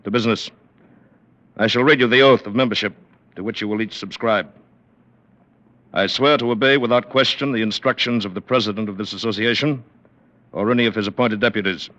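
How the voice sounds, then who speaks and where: Low-voiced, imperious club president, acted by a man. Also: steady tone, a bit bright, lightly acted. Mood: formal, solemn. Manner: formal, measured, imperious. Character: stern, formal club president, coolly menacing gentleman. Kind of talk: vintage radio drama acting. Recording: dull, lo-fi vintage radio recording.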